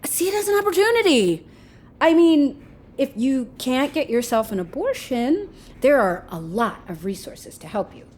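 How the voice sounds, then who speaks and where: A woman's voice: sits high, big pitch swings, moderate pace, darkish tone. An actress playing a breezy, sardonic, slangy woman who teases her panicking male partner in a naturalistic audio drama. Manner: flippant, teasing, slangy.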